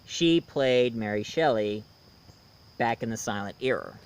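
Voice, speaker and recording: Man, smooth clear voice, mid-range pitch, casual film review, halting delivery, repeats himself. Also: expressive. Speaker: reviewer, show host. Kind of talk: casual movie review commentary.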